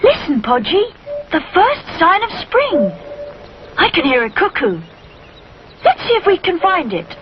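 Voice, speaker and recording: A muffled, slightly noisy storybook recording of a woman playing an eager boy bear cub. The voice is high and bouncy, friendly and full of childlike excitement. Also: measured pace, pauses often, exaggerated voice. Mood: cheerful.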